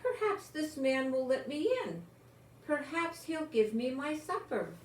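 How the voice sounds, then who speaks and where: A woman's voice: sits high, sing-song, unhurried, voice pushed up. A woman voicing a forgetful, confused female pet cat in a storybook, plaintive and self-pitying. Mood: hopeful. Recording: echoey room with some background noise.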